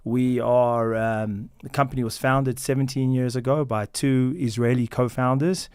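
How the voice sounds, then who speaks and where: An American man answering interview questions as a company founder, earnest and measured, often pausing with fillers and restarting. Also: mid-range voice, steady tone, darkish tone, clean tone, no echo, clean studio sound. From United States.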